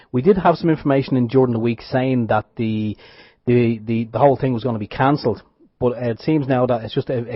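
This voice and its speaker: A man co-hosting an internet radio show, casually reading out event details and correcting his slips with good humour. His voice sits at a mid pitch. The dry, dull sound suggests a lo-fi studio feed. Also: breathy edge, no room sound. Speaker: radio show co-host and producer.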